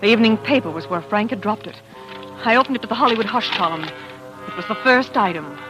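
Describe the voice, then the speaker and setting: An actress playing an anxious, devoted wife in a 1940s radio suspense drama, fretful and dramatic. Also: female voice, medium pitch, expressive, brisk, natural voice, poor audio. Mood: tense, brooding. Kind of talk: 1940s radio suspense acting, first-person narration and dialogue. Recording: old radio drama with music behind.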